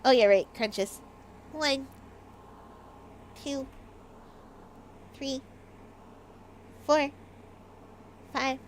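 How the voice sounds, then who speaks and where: Canadian lady w a slight maritime accent, rambly giggly stream chat, bit high voice, faint hiss. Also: very slow, long pauses. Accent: light Maritime Canadian. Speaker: game streamer. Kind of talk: casual livestream chat.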